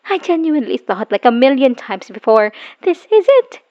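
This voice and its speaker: A woman acting a wounded, bitter woman leaving her abusive partner, in an emotional, halting dramatic audio roleplay monologue. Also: high voice, very animated, darkish tone. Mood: anguished, scornful. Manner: emotional, halting, sighing, dropping into whispers. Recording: dull lo-fi voice recording.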